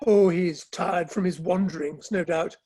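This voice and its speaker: A woman playing a mild, sociable, peacemaking Victorian gentleman in a dramatic play reading. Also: fairly low pitch, steady tone, measured pace, slightly rough. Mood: mild, placating.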